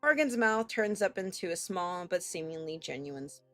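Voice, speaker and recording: Woman streamer reading a visual novel, lowish voice, expressive and casual, bit of music behind. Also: normal speed. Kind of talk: expressive live read-aloud of a visual novel with casual reactions.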